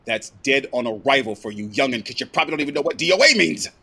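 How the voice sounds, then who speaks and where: An actor playing an irate, bullying older theatre producer in an audio comedy, sarcastic and hectoring. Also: male voice, mid-range voice, lively intonation, fast talker, bright, forceful tone, slightly rough, heavily acted. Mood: menacing, sarcastic. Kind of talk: comedic audio drama acting.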